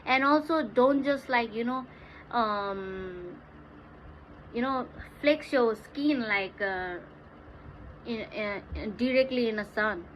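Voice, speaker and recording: Dull, lo-fi audio with some noise behind it carries a woman's fairly high voice. She has a Nepali accent and chats casually with her stream viewers in a playful, exclaiming way. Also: slow pace. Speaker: livestream host. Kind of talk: casual livestream chat, mixing English and Hindi. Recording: dull lo-fi livestream audio, clear background noise.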